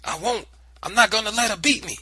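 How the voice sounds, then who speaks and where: A man's voice: a little high, big pitch swings, moderate pace, bright, forceful tone, slightly rough, no echo, pitched above the performer's own voice, exaggerated voice. A man voicing a frightened, defiant boy pleading not to be beaten.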